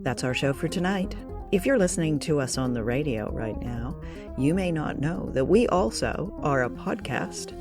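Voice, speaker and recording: A woman's voice: low-pitched, animated, normal speed. A woman speaks as co-host of a radio show, with music in the recording. She chats casually and keeps her humour dry and understated.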